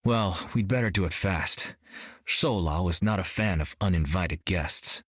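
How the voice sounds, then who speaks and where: Brash joking martial arts hero, dry booth recording. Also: male voice, deep voice, unhurried, fairly bright tone, no echo. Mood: cocky. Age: young adult. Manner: cocky, joking. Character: brash young male martial artist, cocky and upbeat. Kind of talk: video game voice acting.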